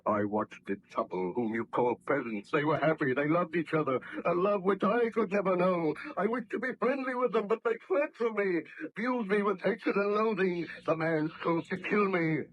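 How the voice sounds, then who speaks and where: An actor playing a lonely, vengeful monster with grand, archaic speech in 1930s radio horror style. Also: male voice, high-pitched, moderate pace, few pauses, close to an everyday voice. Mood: anguished, bitter. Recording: dull, noisy old radio recording.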